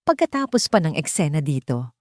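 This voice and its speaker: A woman's voice: deep voice, very animated, fairly quick, dry recording, studio quality. A woman voicing a scheming, gossipy waitress in an audio drama, insinuating and falsely sympathetic. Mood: sly feigned pity.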